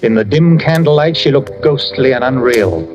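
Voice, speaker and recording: An actor playing a tense first-person narrator in a dramatic old radio suspense tale. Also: male voice, medium pitch, lively intonation, moderate pace, music in the background, close to an everyday voice, low-quality recording. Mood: tense, grave.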